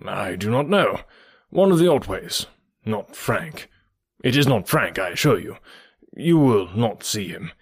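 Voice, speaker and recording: A reader voicing a genial middle-aged gentleman in an audiobook, brisk and reassuring. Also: mid-range voice, big pitch swings, husky, no room sound, studio quality, heavily acted. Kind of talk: audiobook character voice.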